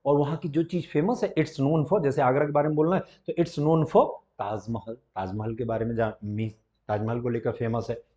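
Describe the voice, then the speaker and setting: An Indian man with a Hindi accent teaching spoken English. He explains in Hindi and says each model English sentence slowly and deliberately. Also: medium pitch, fairly even intonation, warm tone, muffled recording. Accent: Indian, Hindi-speaking. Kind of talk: classroom-style spoken English lesson. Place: institute classroom or studio.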